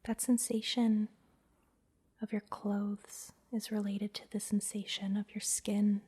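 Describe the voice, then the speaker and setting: Slow, breathy whispering woman. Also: mid-range voice, flat delivery. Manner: gentle, soothing, instructing softly with pauses. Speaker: massage therapist and meditation guide, host. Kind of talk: whispered ASMR guided meditation.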